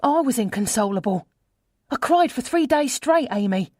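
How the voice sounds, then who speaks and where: A woman's voice: a little high, lively intonation, normal speed, mellow, no room sound, studio quality, pitched above the performer's own voice. A woman voicing a distraught, incredulous female friend who speaks bluntly and emotionally in an audiobook. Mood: hurt, resentful.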